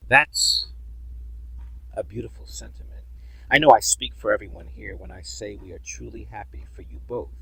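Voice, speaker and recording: A man playing a gentle, sincere friend in an audio drama, with a gravelly, husky voice. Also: fairly low pitch, normal speed, dry recording, exaggerated voice, poor audio. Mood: heartfelt. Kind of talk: fan audio drama acting.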